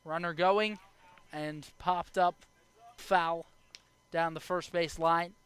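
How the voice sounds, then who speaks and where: A young adult man doing live baseball play-by-play as the announcer, speaking in short clipped phrases with measured pauses. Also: on the high side, steady tone, a bit bright, smooth. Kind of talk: live sports play-by-play commentary.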